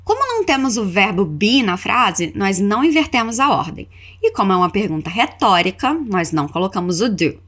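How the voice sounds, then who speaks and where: A woman's voice: medium pitch, big pitch swings, brisk, fairly bright tone. A woman with a Brazilian accent teaching a scripted language lesson as a podcast host, instructive, carefully enunciating example phrases for repetition. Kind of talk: scripted language lesson with repeat-after-me drills.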